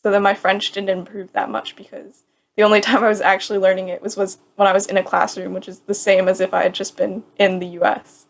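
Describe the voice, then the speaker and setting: A woman in her twenties chats casually as a podcast co-host. She talks in a rambling, easygoing way and keeps dropping "like" into her sentences. Her voice sits at a middle pitch. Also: flat delivery, quick pace, creaky. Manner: chatty, rambling, peppered with "like".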